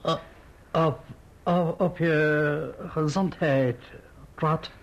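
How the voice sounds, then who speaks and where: A broken older gentleman in an old radio drama. His high, husky voice creaks and falters, slow and stammering, weak of will. The sound is muffled. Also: fairly even intonation. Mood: befuddled, timid. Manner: halting, stammering. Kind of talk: radio play acting.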